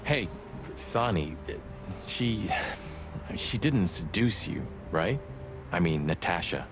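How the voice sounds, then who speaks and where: A man's voice: low-pitched, animated, takes it slowly. A man playing a sardonic, streetwise rooster cop sidekick in a noir game, needling but loyal. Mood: prying, wary. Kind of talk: film noir game voice acting. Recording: music behind the voice.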